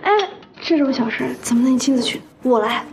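Young woman, fairly high voice, spirited stubborn office assistant, TV romance drama, music underneath. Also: fairly even intonation, brisk, gravelly. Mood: eager. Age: 20s. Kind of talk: Mandarin TV romantic comedy drama acting. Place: office interior, TV drama production.

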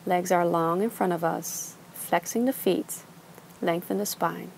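A young woman teaching a gentle yoga class, giving slow, soothing instructions. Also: on the low side, creaky, slight background noise, poor audio. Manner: calm, slow, soothing cues. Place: outdoors on a beach.

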